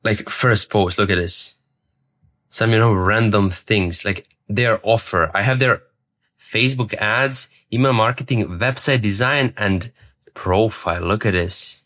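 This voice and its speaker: A man's voice: lowish voice, unhurried, fairly bright tone. A young man telling his own story as a vlogger, rambling, repeating words and swearing casually. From Serbia. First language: Serbian. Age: young adult. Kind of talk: personal story to camera.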